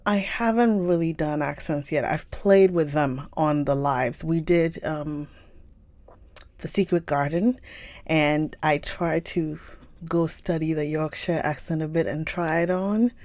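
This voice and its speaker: A young woman from Ghana chats with her livestream audience at home before a book reading. Her voice sits a little low and soft, and her pace is slow and relaxed, broken up by frequent giggles. The sound is close and dry, picked up by a streaming mic. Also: no echo. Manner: chatty, giggly, greets viewers by name. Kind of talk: casual livestream chat with viewers. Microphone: phone or computer stream mic.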